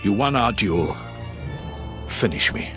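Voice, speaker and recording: A man playing a grim, resigned defeated dark warrior in a video game, with a very deep gravelly voice. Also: animated, takes it slowly, over music. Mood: solemn, resigned. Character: grim, resigned male Sith duelist, defeated. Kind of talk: dramatic video game acting.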